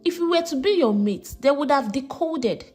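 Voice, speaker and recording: A woman voicing a cruel, commanding uncle in a dramatized folktale, with a forceful, threatening manner. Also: sits high, expressive, moderate pace, soft background music. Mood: menacing, commanding. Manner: forceful, domineering, coaxing at times.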